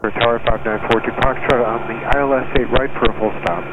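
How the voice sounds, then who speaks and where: A man's voice: medium pitch, steady tone, fast talker. A man, a pilot making procedural readbacks over aviation radio. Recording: muffled, very noisy cockpit radio link.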